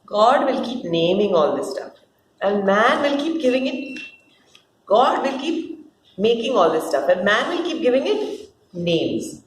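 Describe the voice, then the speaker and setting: A woman's voice: a little high, big pitch swings. A woman voicing a scheming, jealous serpent with a sneering, melodramatic manner in a dramatic stage play reading. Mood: gloating. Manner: sneering, melodramatic, repeats words, drawn-out phrases. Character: scheming, jealous, theatrical serpent, mocking fallen angel. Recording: roomy echo with clear background noise.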